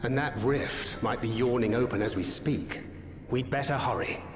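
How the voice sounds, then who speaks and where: An actor playing a sober, watchful comrade in a fantasy video game, with a formal, matter-of-fact delivery. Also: male voice, medium pitch, moderate pace, roomy sound, in a large hall, over music, lightly acted. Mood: urgent.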